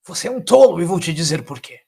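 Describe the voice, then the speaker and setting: A man voicing a furious old alien time traveler in an audio story, scolding with sarcastic, forceful questions. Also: on the high side, sing-song, fast talker, gravelly, slightly rough, voice pushed up, heavily acted. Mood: accusing, indignant.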